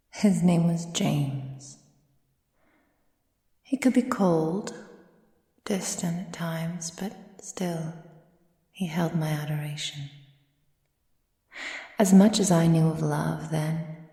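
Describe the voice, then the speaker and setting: A woman playing an ancient, proud, possessive aristocratic vampire in a soft, breathy ASMR roleplay with formal, measured delivery. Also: low voice. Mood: wistful, reserved. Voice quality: breathy, soft, often near whisper. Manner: slow, formal, measured, imperious. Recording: roomy sound with long reverb.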